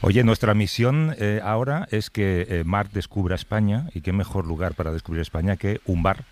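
Deep-voiced man hosting a talk show. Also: normal speed, darkish tone. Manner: hesitant, many fillers. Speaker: host. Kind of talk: radio panel conversation.

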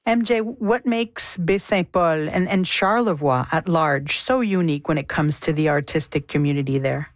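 Radio interviewer woman, dry low voice. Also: moderate pace, few pauses, dry recording. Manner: curious, asks short follow-up questions.

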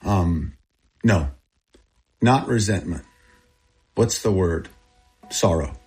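A man in his sixties from Texas and Thailand, a native Thai speaker, telling personal stories in an earnest, confessional way in short plain phrases, with a husky voice. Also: low voice, animated, slow pace, a little mellow. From born in Texas, grew up in Thailand. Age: 60s. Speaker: interviewee, missionary aid group founder. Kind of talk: personal storytelling in an interview.

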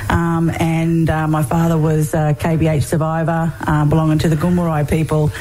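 A woman's voice: sits low, monotone, moderate pace, mellow, a little hiss or hum. A woman from Sydney, a radio guest who speaks hesitantly with many fillers. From Sydney, Australia. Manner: hesitant, many ums and uhs. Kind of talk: radio interview.

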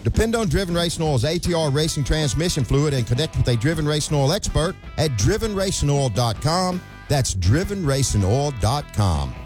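A man's voice: a little high, animated, normal speed, darkish tone, faint music behind. A man reading a punchy, promotional radio ad. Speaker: ad announcer. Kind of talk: radio ad read.

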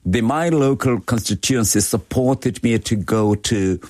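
A middle-aged man from North Korea with a Korean accent, answering interview questions in a measured way, with many "uh" and "you know" fillers. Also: mid-range voice, darkish tone, no room sound, clean studio sound. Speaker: guest, former diplomat and lawmaker.

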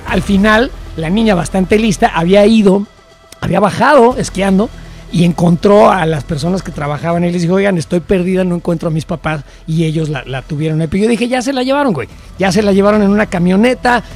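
A middle-aged man with a Mexican accent, telling animated, slangy anecdotes as co-host of a casual chat show. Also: high voice, fast talker, soft background music.

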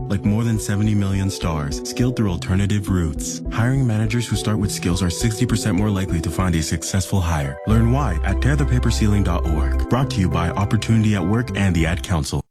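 Very deep-voiced male ad announcer. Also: fairly quick, few pauses. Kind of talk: scripted public service ad. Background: music.

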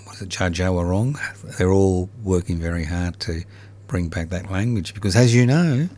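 Teasing deep-voiced man. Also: moderate pace, mellow. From Australia. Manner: teasing, jokey interviewer. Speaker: host. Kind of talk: casual radio chat.

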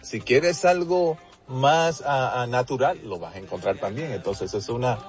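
A man's voice: medium pitch, measured pace. Dominican male singer, radio guest, chatty with restarts, dull lo-fi line, faint music under. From Dominican Republic. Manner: warm, frequent restarts and "así es". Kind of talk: casual phone interview.

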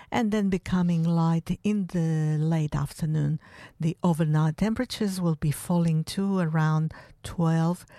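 A woman hosting a community radio show, speaking in a relaxed, unhurried way with occasional fillers. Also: lowish voice, no room sound, studio quality. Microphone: studio microphone.

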